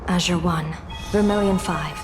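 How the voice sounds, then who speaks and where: A woman acts a calm female military leader in a fantasy game. Her voice sits a little low and sounds composed and reassuring. Music plays behind, with long reverb. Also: a little slow, lightly acted.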